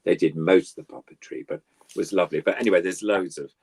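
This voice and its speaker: A man's voice: low-pitched, steady tone, fast, darkish tone, husky, no room sound. An older man chatting casually as a guest, reminiscing in an effusive, rambling way. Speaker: guest puppeteer.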